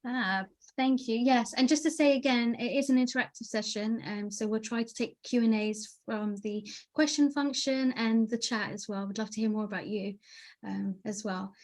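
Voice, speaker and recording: A woman's voice: fairly high pitch, fairly even intonation, normal speed, darkish tone. Upbeat woman moderating a panel. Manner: upbeat, enthusiastic. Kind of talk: online panel discussion. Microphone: video call.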